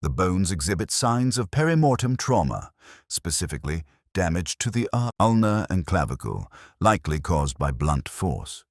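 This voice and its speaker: A man voicing a gravely enthusiastic doctor in an audiobook, clinical and formal in manner. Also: low voice, big pitch swings, moderate pace, dry recording, clean studio sound, voice pushed up. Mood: grave enthusiasm. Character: short, gravely enthusiastic male doctor examining remains.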